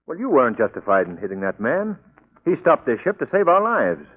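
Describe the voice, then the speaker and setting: An actor playing a well-spoken, courteous but firm gentleman hero, in crisp 1940s radio style. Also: medium pitch, wildly swooping pitch, a little fast. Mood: firm, indignant. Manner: crisp, formal, educated phrasing. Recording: tinny, band-limited 1940s radio recording.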